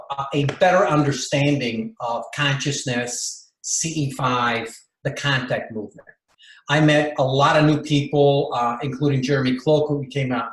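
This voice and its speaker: Interview guest, Bolivian-born man, mid pitch, halting delivery with lots of restarts, echoey room. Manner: rambling, many fillers and restarts. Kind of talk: casual storytelling interview.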